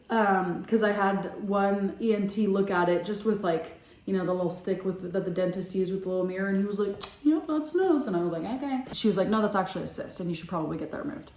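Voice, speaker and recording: A young adult woman telling a personal story in a casual, chatty vlog with lots of fillers. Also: mid-range voice. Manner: chatty, animated, many fillers. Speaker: vlogger. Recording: echoey room.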